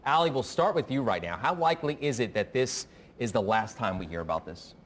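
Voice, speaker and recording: A man's voice: medium pitch, animated, brisk, a little hiss or hum. A man hosting a TV political show, moderating a panel in a conversational way.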